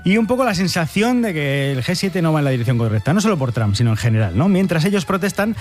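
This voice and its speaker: Fast-talking sarcastic Spanish man. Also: on the high side, very animated, a little mellow. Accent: Spain Spanish. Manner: sarcastic, joking asides. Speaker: host. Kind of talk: satirical news monologue.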